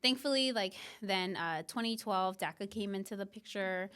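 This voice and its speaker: A woman's voice: medium pitch, moderate pace, fairly bright tone, smooth. A young woman from Mexico, a podcast guest talking through her life in a reflective, casual way with plenty of fillers. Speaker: podcast guest, business founder.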